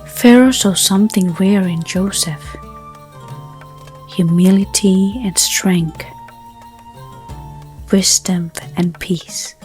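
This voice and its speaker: A woman narrating a bedtime story in a gentle, soothing, hushed manner, with unhurried phrasing. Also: mid-range voice, fairly even intonation. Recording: soft music under the voice. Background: background music.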